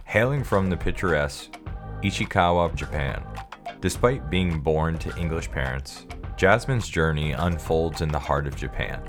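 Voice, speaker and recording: A man hosts a podcast with a voice pitched very low. He reads his opening in a scripted way with a dry, self-deprecating aside, then chats loosely with his guest. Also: moderate pace, soft background music. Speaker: podcast host. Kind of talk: scripted intro, then casual interview.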